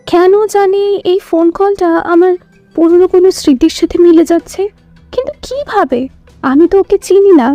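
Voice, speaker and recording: A woman's voice: very high-pitched, normal speed, mellow, no echo, soft background music. An actress playing an anxious, confused young woman in an audio drama, uneasy and questioning. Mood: puzzled, uneasy. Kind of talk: audio story drama acting.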